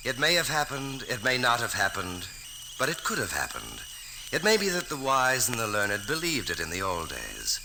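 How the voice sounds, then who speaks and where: A man's voice: medium pitch, wildly swooping pitch, moderate pace, vocal fry. A man hosting an old-time radio drama with a scripted, storytelling introduction. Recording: noisy vintage radio recording.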